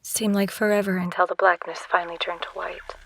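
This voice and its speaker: An actress playing a haunted young nurse, narrating her ordeal gravely and vividly in a first-person audio drama. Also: fairly low pitch, level tone, poor audio. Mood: shaken. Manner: grave, measured, vivid storytelling. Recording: storm noise loud behind the voice.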